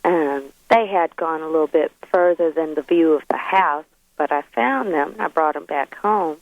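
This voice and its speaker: A woman's voice: sits low, moderate pace, dry recording. A young adult woman talking casually as a caller, a mother describing things over the telephone. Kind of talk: casual phone conversation. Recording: dull lo-fi telephone line.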